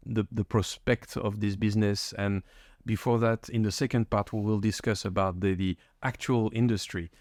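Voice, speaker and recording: A man's voice: fairly low pitch, a little mellow, no room sound, clean studio sound. A man hosting a podcast interview, asking curious questions and summing up the guest's answers. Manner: curious, friendly, summarizing answers back. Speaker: podcast host.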